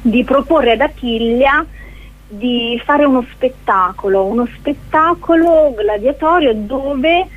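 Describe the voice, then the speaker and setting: A woman giving an informal radio interview as a guest actress, speaking hesitantly with searching pauses. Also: high-pitched. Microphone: telephone. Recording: narrow, tinny phone line.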